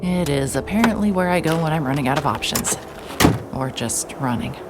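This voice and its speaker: Female private eye, very low voice, stubborn, deadpan, terse, cinematic audio drama, faint music. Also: fairly quick. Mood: wry, determined. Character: hard-hearted, wry, stubborn female private investigator. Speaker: narrator and lead actor.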